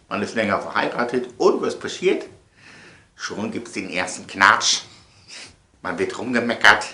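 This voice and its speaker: A man's voice: lowish voice, pushed and bright. A man hosting a comedic monologue to viewers, theatrical and repeating phrases for emphasis. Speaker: host of an online show. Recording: echoey room sound.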